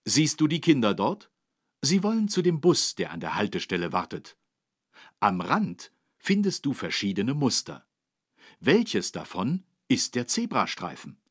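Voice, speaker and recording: A man playing a friendly policeman who courteously and clearly explains things to children in a learning game. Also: mid-range voice, huge pitch swings, unhurried, full character voice. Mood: instructive, patient. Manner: instructive, courteous, clearly enunciated. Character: friendly adult male policeman explaining traffic rules to children.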